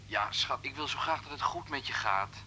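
An actor playing a teasing, affectionate boyfriend in a radio drama, casual and joking, with the odd hesitation and a sharp-edged voice. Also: male voice, medium pitch, animated, normal speed. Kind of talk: naturalistic radio drama acting.

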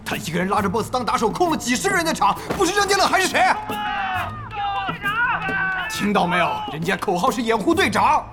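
A man's voice: very high voice, a little fast, long pauses, over music, full character voice. An actor playing a hot-tempered, bossy male gaming guild president in a Chinese audio drama. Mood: exasperated, furious. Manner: bossy, excitable, swings from calm to outbursts.

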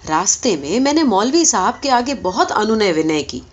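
A woman reading a story aloud as expressive scripted narration, in the manner of an audiobook reader. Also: medium pitch. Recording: dull, lo-fi recording.

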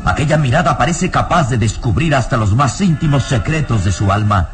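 A man's voice: mid-range voice, expressive, a little fast. A man narrating a radio drama in a solemn, theatrical style. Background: music.

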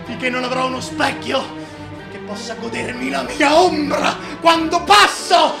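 A man's voice: very high voice, fairly even intonation, unhurried, gravelly, music in the background, full character voice. An actor playing a vain, deformed, megalomaniac usurper king in a declamatory theatrical monologue. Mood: gleeful vanity. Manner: declamatory, grandiose, self-adoring.

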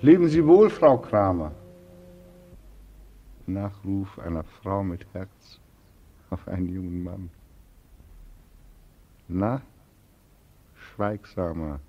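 Despairing young veteran, radio drama, low, mellow and slow, bitterly sardonic. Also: male voice, expressive, muffled recording. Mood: accusing, sardonic. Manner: brooding, accusing, sardonic. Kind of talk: 1940s radio drama acting.

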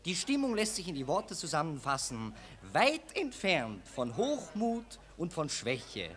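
A self-important, excitable Viennese newspaperman in an old 1940s radio play. His voice sits high and swings widely as he declaims like an orator, emphatic and cynical. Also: a little slow, exaggerated voice. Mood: grandiloquent.

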